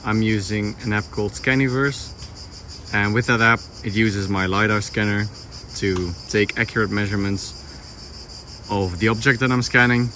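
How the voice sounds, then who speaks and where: Young male vlogger, fairly low voice, informal explanatory delivery, handheld phone with faint background insect noise. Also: steady tone, moderate pace, pushed and bright. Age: young adult. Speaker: vlogger renovating a villa. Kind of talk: casual vlog narration to camera. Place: outdoors in an overgrown garden, some indoors. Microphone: handheld phone at arm's length.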